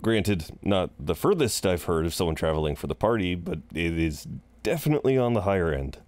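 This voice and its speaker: A man's voice: low voice, lively intonation, brisk, darkish tone, no room sound. A man playing a flirtatious, chatty incubus innkeeper in a roleplay audio drama, welcoming and rambling with hesitant asides. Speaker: innkeeper at a front desk.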